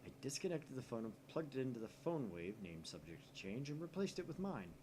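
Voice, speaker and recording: A man's voice: mid-range voice, big pitch swings, brisk, mellow, natural voice. A man voicing a laid-back young computer geek sidekick in a visual novel, casual and matter-of-fact, with some background noise.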